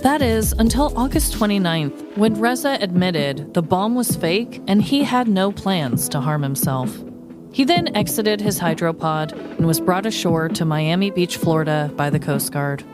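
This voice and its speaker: Woman podcast host, lower register, playful dramatic scripted narration, clear music bed underneath. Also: lively intonation, unhurried. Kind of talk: scripted true-crime comedy storytelling.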